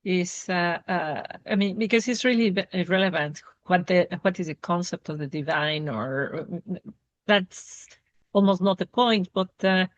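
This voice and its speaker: A woman hosting a conversational interview, feeling her way through questions in halting, searching phrases, on dull lo-fi audio. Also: fairly low pitch. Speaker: interview host.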